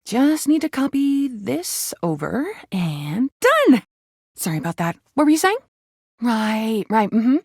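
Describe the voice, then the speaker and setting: A man's voice: very high voice, wildly swooping pitch, slow pace, slightly rough, no echo, studio quality, full character voice. A man voicing a hyperactive goofball game mascot, campy and theatrical, full of playful silliness. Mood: flustered, chatty.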